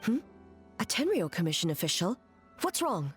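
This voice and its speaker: An actress voicing a stern, composed female ruler in a video game, speaking in a measured, formal and commanding way. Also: on the low side, big pitch swings, faint music behind. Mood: concerned. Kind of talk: English video game voice acting.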